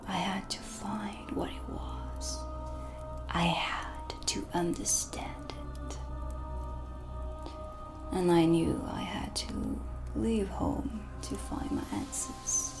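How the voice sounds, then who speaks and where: Dark witch, female performer: mysterious, proud, coolly menacing; theatrical ASMR whisper with a creaky edge and widely swinging intonation. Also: mid-range voice, full character voice. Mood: proud, intense. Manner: slow, breathy, theatrical, deliberate whispering. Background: dark ambient music.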